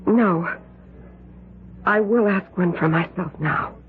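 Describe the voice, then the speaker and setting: A woman's voice: fairly low pitch, takes it slowly, noisy background. An actress playing an anxious, earnest young woman devoted to a coven, in tense, dramatic radio drama style. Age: young adult. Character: anxious, intense young woman, a witch disciple. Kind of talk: 1970s radio drama acting and first-person narration. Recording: tinny old radio broadcast, music underneath.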